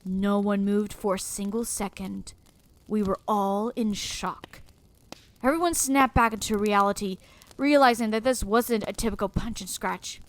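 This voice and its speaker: A woman telling a horror story in a grave, dramatic way, dropping to a hush at times. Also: medium pitch, a little slow.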